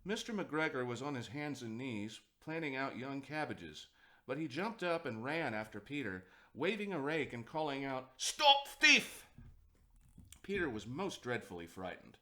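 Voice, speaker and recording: Animated man reading a children's storybook. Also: mid-range voice, normal speed. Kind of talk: expressive children's storybook narration.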